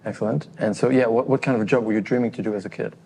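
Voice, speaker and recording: Mellow-toned man hosting an interview. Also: medium pitch, fairly even intonation, vocal fry, breathy edge, long reverb tail. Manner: polite, slightly halting questions. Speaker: podcast host, interviewer. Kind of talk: interview questions.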